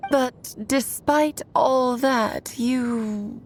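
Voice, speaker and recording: A woman voicing an urbane, playful witch friend, theatrical, measured and affectionate, in a scripted fantasy game. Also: sits high, faint music behind. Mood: musing.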